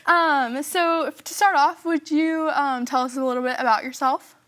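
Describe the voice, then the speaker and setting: Young college girl hosting a show, high bright voice, upbeat questions. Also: steady tone, moderate pace. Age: young adult. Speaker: student host. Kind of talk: hosting an interview.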